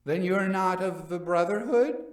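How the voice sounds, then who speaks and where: A man's voice: high-pitched, normal speed, echoey room, distant mic, voice pushed up, natural voice. A man voicing a proud, stubborn drunk wine lover with exclamatory bravado in a gothic story reading. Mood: incredulous.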